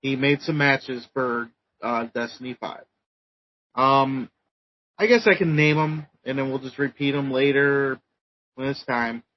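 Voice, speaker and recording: A man co-hosting a podcast, casually recapping results with many ums and pauses. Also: medium pitch, highs cut off. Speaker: podcast co-host. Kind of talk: casual chat.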